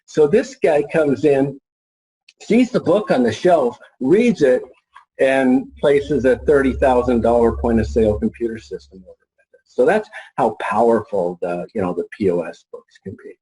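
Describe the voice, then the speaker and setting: A middle-aged man informally presenting as a marketing expert, folksy and halting as he thinks aloud. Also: medium pitch, mellow, poor audio. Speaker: marketing expert and author presenting his book.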